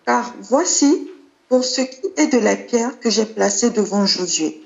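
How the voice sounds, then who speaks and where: A woman reads scripture slowly and solemnly. Her fairly high voice comes through a muffled, lo-fi line. Each verse is given a deliberate, even delivery. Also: vocal fry. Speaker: scripture reader. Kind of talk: reading Bible verses aloud.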